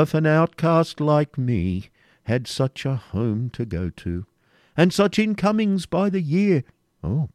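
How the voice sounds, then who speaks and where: Guy playing a crafty fawning moneylender, hesitant and wheedling, highish voice, storybook style. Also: dark tone, no echo, studio quality. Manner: hesitant, sighing interjections and repeated words. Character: anxious, wheedling male moneylender captive, obsequious and crafty.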